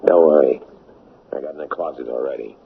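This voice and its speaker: A scheming, menacing drug smuggler in a radio play. His voice is rock-bottom low and full of gravel, and he talks in slangy, brash bursts. The old broadcast sounds muffled. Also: a little fast, crowd noise. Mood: sly, boastful. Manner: slangy, brash street-tough talk. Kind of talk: radio drama acting.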